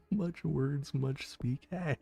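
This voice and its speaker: Man, gravelly streamer voice at a mid pitch, low-key and jokey, close mic, faint music behind. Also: drawn-out words. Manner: low-key and soft-spoken, joking, sometimes whispering. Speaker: game streamer reading chat. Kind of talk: casual gaming stream chat.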